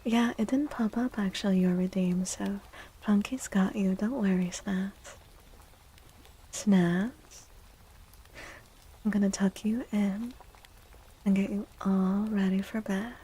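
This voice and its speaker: A woman speaking softly and gently in a breathy, soothing ASMR style, often slipping into a whisper as she chats with stream viewers. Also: mid-range voice, fairly even intonation, slow, long pauses, a little hiss or hum, low-quality recording. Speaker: livestreamer hosting an ASMR stream. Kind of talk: soft-spoken ASMR, chatting with viewers. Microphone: close microphone.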